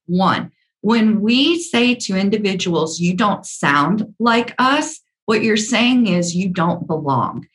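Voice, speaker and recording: A woman from the American South speaking with a measured, earnest manner and frequent ums, her Southern accent mostly hidden. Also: mid-range voice, a bit bright, poor audio. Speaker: speaker on workplace diversity. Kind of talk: talk with personal stories.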